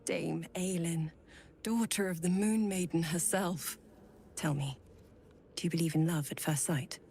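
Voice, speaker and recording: An actress playing a grieving young woman brought back from death in a fantasy video game, speaking in a slow, hushed, halting way. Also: lowish voice, steady tone, a little hiss or hum.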